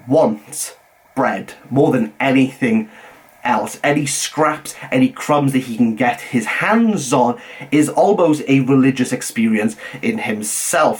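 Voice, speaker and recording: Earnest Welsh man reviewing books. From Wales. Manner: measured, earnest, drops low on titles. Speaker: book reviewer. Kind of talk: scripted book review.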